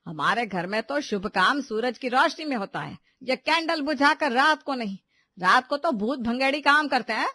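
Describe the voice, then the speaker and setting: A woman's voice: high-pitched, animated, moderate pace, pushed and bright, dry recording, studio quality, heavily acted. A woman voicing a strict, suspicious household matriarch in a family story, scolding and domineering. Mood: indignant. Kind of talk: animated Hindi family story dialogue.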